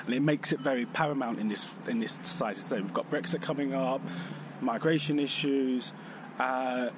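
A man speaking reflectively outdoors, pausing and correcting himself as he talks. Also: medium pitch. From Vietnam. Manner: thoughtful, pausing, self-correcting. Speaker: exhibition contributor. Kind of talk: reflective personal testimony. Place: outdoors on a city street. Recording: noisy street with traffic.

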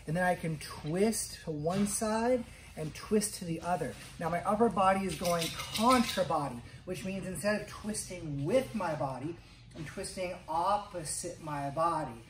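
A man's voice: on the high side, slight background noise. Man, dance teacher, casual chatty vlog host, echoey room, distant from mic. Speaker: dance instructor and video diary host. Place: wood-panelled room indoors.

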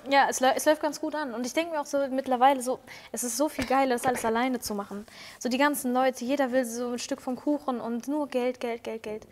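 A young woman in her twenties talks quickly in a fairly high voice, candid and slangy, with plenty of fillers. She is a singer being interviewed on a sofa set, on a clip-on mic. A long reverb trails her words. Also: fairly even intonation. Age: 20s. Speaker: singer, guest. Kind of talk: casual interview chat. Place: indoor lounge set with sofa.

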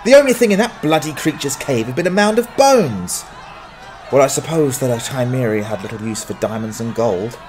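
A man voicing a gruff, sardonic, down-at-heel adventurer in a fantasy audiobook, grumbling in exasperation. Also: mid-range voice, lively intonation, a little fast, a little mellow, a little hiss or hum, natural voice. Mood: exasperated. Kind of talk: dramatized fantasy audiobook acting.

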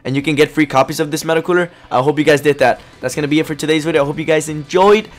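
Young male gaming YouTuber, voice going hoarse, excitable and slangy, faint background music. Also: a little high, rapid-fire. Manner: excited, slangy, talks to viewers. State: hoarse, losing his voice. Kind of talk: casual gameplay commentary.

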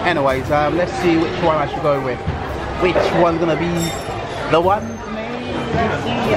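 A man in his thirties vlogging on city streets and in a busy mall restaurant, chatty and excitable, filming himself at arm's length. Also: fairly high pitch. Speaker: food vlogger. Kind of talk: casual vlog narration. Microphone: handheld camera held at arm's length. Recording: loud background music and crowd noise. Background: loud music and crowd chatter.

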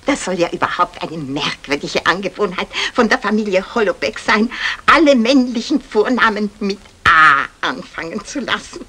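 A woman's voice: medium pitch, lively intonation, normal speed, sharp tone, breathy edge. An actress playing a chatty, flustered, lonely neighbour woman, over-polite and hesitant, in a German radio comedy. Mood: curious, coy. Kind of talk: German radio crime comedy acting, 1960s. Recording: noisy old 1960 radio recording.

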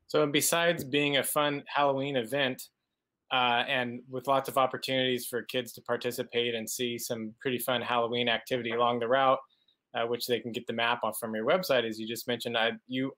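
A middle-aged man hosting an interview, asking measured questions with hesitant restarts over a webcam call. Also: medium pitch, a bit bright. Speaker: host. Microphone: webcam on video call.

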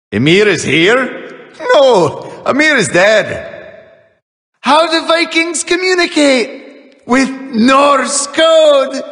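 An actor playing a boastful, battle-hungry Viking thunder god in a video game, gravelly and bombastic. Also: male voice, in a large hall, full character voice. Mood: taunting, mocking. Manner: bombastic, booming battle shouts and taunts. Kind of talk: video game character voice lines.

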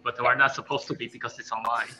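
A young man talking casually and softly on a video call from a laptop in a lecture hall. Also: mid-range voice, level tone, quick pace. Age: young adult. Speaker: co-speaker. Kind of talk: casual chat. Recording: dull laptop mic with hum.

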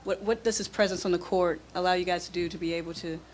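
A woman's voice: fairly low pitch, fairly even intonation, quick pace. A woman, a sports reporter asking a question at a press conference. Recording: noisy press room.

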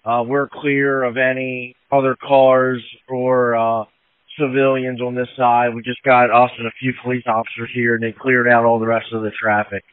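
A man's voice: mid-range voice, level tone, normal speed, clear, resonant tone, no echo. A male firefighter reporting to command over an emergency two-way radio. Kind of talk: emergency radio dispatch traffic. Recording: narrow dry two-way radio transmission.

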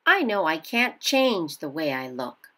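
A woman reading a children's picture book aloud in an expressive way for young listeners. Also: sits low, unhurried. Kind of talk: children's storybook read-aloud.

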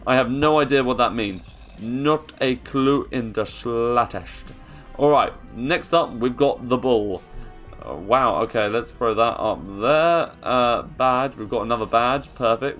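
A young British man giving casual, slangy gameplay commentary in an excitable, self-interrupting way. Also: mid-range voice, a little slow. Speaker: gaming YouTuber. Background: music under the voice.

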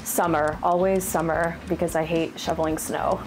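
A woman in her forties from Rhode Island, a county government affairs manager answering quick interview questions in an earnest, plain-spoken way. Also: low-pitched, little pitch movement, background noise. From Rhode Island, USA. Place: office, indoors. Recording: background music underneath.

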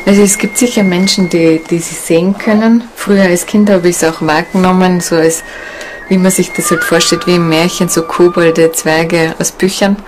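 A woman's voice: on the low side, steady tone, moderate pace. A woman giving a hesitant, reflective spontaneous account in an interview. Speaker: interviewee, nature guide. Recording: clearly noisy ambient background.

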